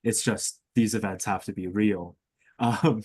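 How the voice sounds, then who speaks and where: A man's voice: lowish voice, fairly even intonation, measured pace, darkish tone, slightly rough, no echo. A young man in an informal podcast discussion, agreeable and affirming as he talks. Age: young adult.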